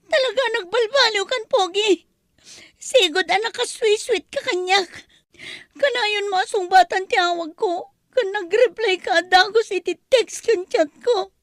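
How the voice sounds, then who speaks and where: A woman playing a jealous, suspicious girlfriend who scolds with sharp, demanding questions in an Ilocano comedy audio drama. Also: very high voice, unhurried, dry recording, clean studio sound. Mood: hurt accusing. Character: jealous, nagging, suspicious girlfriend, quick to accuse.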